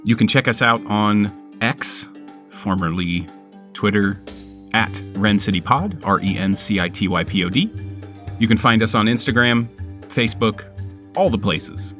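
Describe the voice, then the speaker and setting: A man's voice: fairly low pitch, unhurried, a bit bright, soft background music. A man talking casually as the host and a player on a tabletop gaming show. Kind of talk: casual show intro and game talk.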